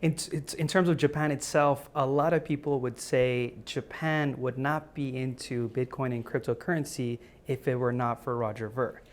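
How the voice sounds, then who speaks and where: A man's voice: medium pitch, moderate pace, hardly any pauses. A man hosting an interview, asking rambling questions and laughing often. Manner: giggly, excitable, stumbling questions. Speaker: interview host. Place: conference venue.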